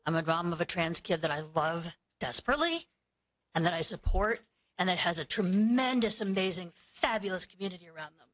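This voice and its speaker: A woman's voice: fairly low pitch, animated, brisk, a bit bright. Woman speaking heartfelt in a meeting hall. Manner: emotional, heartfelt speech. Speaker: public commenter. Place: school board meeting room.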